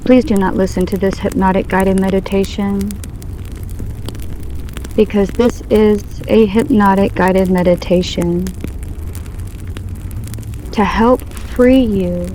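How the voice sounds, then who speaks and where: A woman's voice: medium pitch, mellow, slight background noise. A woman narrating a hypnotic guided meditation in a soothing, instructional way, as a guide leading the listener. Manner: slow, soothing, instructional delivery. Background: background music.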